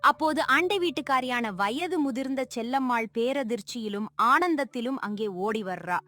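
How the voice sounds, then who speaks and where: A woman's voice: high voice, moderate pace, a bit bright. A woman narrating a scripted moral story.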